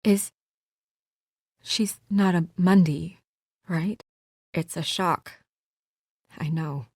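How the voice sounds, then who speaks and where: A woman's voice: lowish voice, very slow, a little mellow, slightly rough, dry recording, clean studio sound, full character voice. A woman voicing an anxious, businesslike young female official in a video game, speaking in an earnest, guarded way with naturalistic delivery. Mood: worried, subdued.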